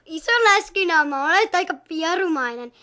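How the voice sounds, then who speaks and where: Amateur cartoon dub: woman as young girl, very high and bright, blunt, childish, teasing. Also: lively intonation, heavily acted. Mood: mocking. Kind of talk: amateur Finnish cartoon fandub.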